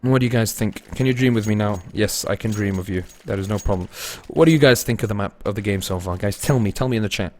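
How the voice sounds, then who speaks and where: A man commentating solo on a live game stream, mixing play-calling with casual chat to viewers and shifting between excited and low-key delivery. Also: quick pace, hardly any pauses. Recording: noisy with game gunfire and clicks. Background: game gunfire and clicks.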